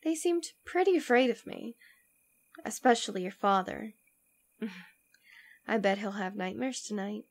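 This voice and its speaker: A woman's voice: mid-range voice, a little slow, pauses often, natural voice. A woman playing a devoted, teasing demon queen in an ASMR roleplay, speaking intimately and tenderly, often dropping toward a whisper. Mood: amused, scornful. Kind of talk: ASMR roleplay audio drama.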